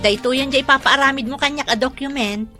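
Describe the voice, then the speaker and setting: A woman playing a bright, easygoing young woman. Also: high-pitched, fairly even intonation, quick pace, soft background music. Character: trusting young woman, co-signer for a friend. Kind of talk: radio drama acting.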